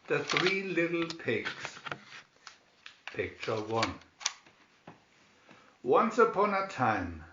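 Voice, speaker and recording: Slow, expressive man reading fairy tales. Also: a little high. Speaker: storybook reader. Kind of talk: expressive scripted fairy tale narration. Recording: roomy, slightly dull recording.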